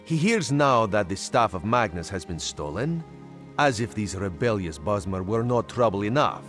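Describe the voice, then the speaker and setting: A sly cat-folk agent for a fantasy video game. The voice sits deep and moves with lively swings, genial and theatrical, loyal to his queen. Soft music plays behind him. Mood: genial, intrigued. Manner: speaks of himself in third person, measured and theatrical. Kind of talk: video game character dialogue.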